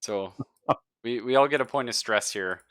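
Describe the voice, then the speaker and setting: A man's voice: medium pitch, normal speed, a bit bright, smooth. A man narrating as game master of a tabletop roleplaying game, thinking aloud casually and hesitantly. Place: live online stream.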